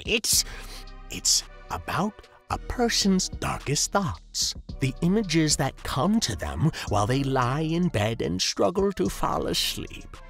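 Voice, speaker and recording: A man's voice: on the high side, exaggerated voice. A man voicing a weary, menacing shapeshifting clown monster in an audio story, with a theatrical, exasperated way of lecturing. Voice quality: gravelly, swooping voice.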